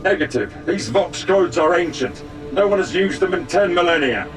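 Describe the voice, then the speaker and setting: An actor playing a grim, dutiful space marine soldier in a video game, reporting in terse military phrases. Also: male voice, sits high, expressive, moderate pace, distant mic, faint music behind. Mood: alert.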